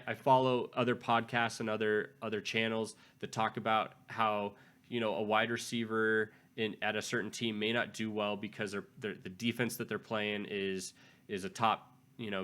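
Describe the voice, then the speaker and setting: A man hosting a fantasy football channel, his voice raspy after being sick, giving casual advice with frequent restarts. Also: fairly low pitch, little pitch movement, moderate pace, fairly bright tone. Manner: conversational, frequent restarts and repeated words. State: raspy from recent illness. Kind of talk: casual advice talk to camera.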